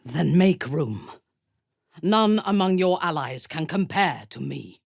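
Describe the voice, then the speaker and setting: Husky, low, imperious warrior elf. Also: female voice, animated, dry recording, clean studio sound, full character voice. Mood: cold, commanding. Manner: haughty, commanding, measured, deliberate. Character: imperious, ruthless female dark elf warrior. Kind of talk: video game voice acting.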